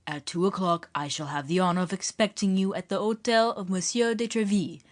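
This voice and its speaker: A woman reading an audiobook, voicing a young musketeer with churchly airs, polished, ironic and measured. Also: mid-range voice, natural voice.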